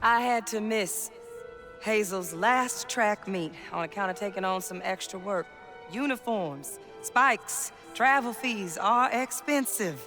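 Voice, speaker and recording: A woman's voice: mid-range voice, lively intonation, takes it slowly. An actress playing a weary, loving working mother in a reflective video game monologue. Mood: wistful, loving. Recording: game music behind the voice.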